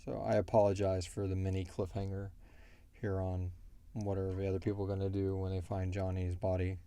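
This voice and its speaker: A man hosting a casual podcast Q&A, thinking aloud in a halting way. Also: low-pitched, completely flat delivery, dark tone, clear, resonant tone, no room sound. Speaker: podcast host and show creator. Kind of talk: casual Q&A chat.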